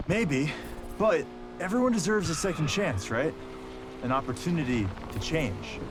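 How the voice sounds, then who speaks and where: A man's voice: fairly high pitch, expressive, unhurried, noisy background. An actor playing an easygoing, loyal young male street racer, trading teasing banter in a game cutscene. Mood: earnest. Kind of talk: naturalistic game cutscene dialogue. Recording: music behind the voice.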